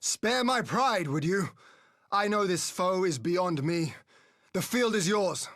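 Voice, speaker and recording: A proud young-sounding lord in a game battle scene, pitched high for a man. He speaks formally and urgently, with resolute, animated swings in a dry recording. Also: takes it slowly, dry recording, heavily acted. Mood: proud defiance. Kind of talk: dramatic video game acting.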